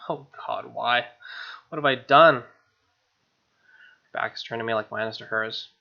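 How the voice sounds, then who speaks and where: A man's voice: mid-range voice, normal speed, gravelly, pushed and bright. A young man reading game text aloud as a let's play host, with irreverent, slangy commentary. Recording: dull lo-fi mic, music behind. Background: game music with guitar.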